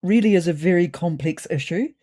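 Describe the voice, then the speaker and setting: A woman's voice: lowish voice, a little fast, warm tone, lightly acted. A woman voicing a flustered, evasive female cat politician in a storybook, stammering defensively. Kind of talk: audiobook storytelling.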